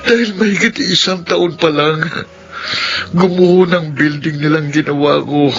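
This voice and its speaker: An actor playing Diego, a contractor full of guilt and remorse, in a radio drama. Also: male voice, on the high side, a little slow, fairly bright tone. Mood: remorseful. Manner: remorseful, confessional. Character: Diego, guilt-ridden contractor facing a lawsuit. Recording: muffled radio drama audio with music.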